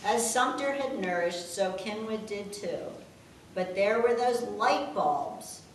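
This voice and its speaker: Older woman, unhurried poem reader, slightly low voice, heard from a distance in a reverberant room. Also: animated. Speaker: daughter reading her own birthday poem. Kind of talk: reading rhymed verse aloud with chatty asides. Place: echoing room at a family gathering. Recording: very echoey room, distant mic, faint noise.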